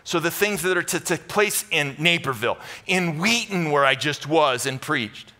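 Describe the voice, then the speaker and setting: A middle-aged American man delivers a sermon from a church stage. His voice sits a bit high and has a bright, forceful edge. He talks in short, punchy phrases, repeating key words for emphasis while staying conversational. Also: animated, moderate pace. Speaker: pastor. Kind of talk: sermon to a live congregation with Bible teaching.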